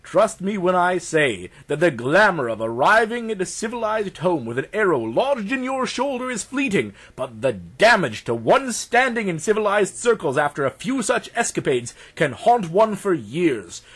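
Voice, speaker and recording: A man voicing a stuffy, pompous Edwardian gentleman adventurer narrating a pulp audiobook, formal and theatrical in manner. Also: high voice, sing-song, normal speed, hardly any pauses, slightly rough, pitched above the performer's own voice, heavily acted. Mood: rueful.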